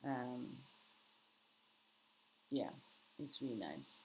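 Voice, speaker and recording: A woman's voice: extremely low pitch, big pitch swings, drawn-out words, a little mellow, a little hiss or hum, low-quality recording. Chatty woman, non-native English. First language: not English. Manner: chatty, rambling, frequent restarts and fillers. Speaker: vlogger hosting a live Q&A. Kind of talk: casual live-stream chat with viewers. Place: countryside home.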